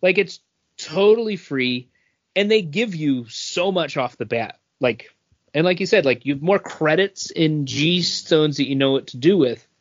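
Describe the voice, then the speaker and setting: A man's voice: fairly high pitch, expressive, unhurried, no room sound, highs cut off. A man co-hosting a podcast in casual chat, thinking aloud and filling with many "like"s. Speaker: podcast co-host.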